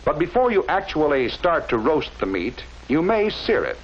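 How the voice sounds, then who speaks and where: Higher-pitched 1940s instructional film man, dull soundtrack. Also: very animated, slight background noise. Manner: measured, didactic, enunciated. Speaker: training film narrator.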